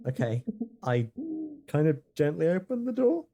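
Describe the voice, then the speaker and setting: Casting: woman tabletop player, slightly low voice, hesitant, casual improvised roleplay. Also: very animated, moderate pace, dark tone. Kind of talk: casual in-character improvised chat.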